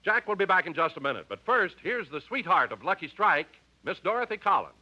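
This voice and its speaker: A man's voice: sits high, big pitch swings, brisk, very noisy. An American man reading scripted announcements and adverts as a 1950s radio announcer. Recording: dull 1950s radio recording.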